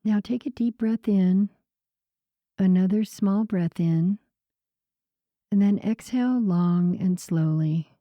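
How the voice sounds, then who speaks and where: A woman guiding a sleep meditation in a soothing, unhurried way, with a soft voice that turns breathy at the ends of phrases. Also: medium pitch, dry recording, lo-fi sound. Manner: soothing, unhurried, gentle pauses. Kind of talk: slow guided sleep meditation narration.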